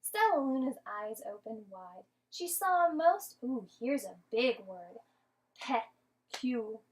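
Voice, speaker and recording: A woman teacher giving an interactive storybook read-aloud to young children, expressive and patient, often asking and explaining. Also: a little high, takes it slowly, slight background noise.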